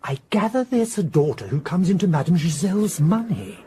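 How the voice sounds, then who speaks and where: An actor playing an excitable, scatterbrained mystery writer with an English accent, effusive and rambling, in a radio drama. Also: male voice, high-pitched, a little fast, slight background noise. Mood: musing, flustered.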